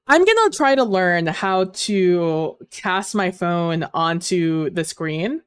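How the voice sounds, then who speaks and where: A woman streamer talks casually through a headset microphone, rambling along with plenty of fillers. Her voice is smooth and resonant, though she is losing it, and the sound is dry and close. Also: lowish voice, animated, takes it slowly, no echo. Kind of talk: casual gaming stream chat.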